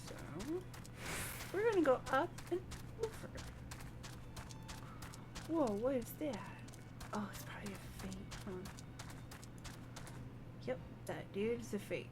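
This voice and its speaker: A woman's voice: on the high side, sing-song, slow, long pauses, background noise. A woman giving casual live gameplay commentary, playfully thinking aloud as she goes. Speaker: game streamer.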